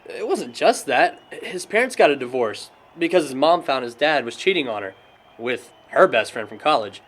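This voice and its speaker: A man's voice: medium pitch, animated, normal speed, a bit bright. A young American man acting a sombre friend telling stories in conversational film dialogue. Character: sombre young friend recounting a classmate's tragic downfall.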